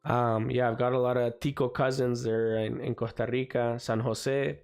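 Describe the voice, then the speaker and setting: A man with a slightly raspy voice from the cold hosting a live trading stream, chatting casually and filling gaps with "guys" and "you know what I mean". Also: mid-range voice, little pitch movement, moderate pace, darkish tone. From Canada. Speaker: forex trading livestream host. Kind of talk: live market commentary. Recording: faint music behind.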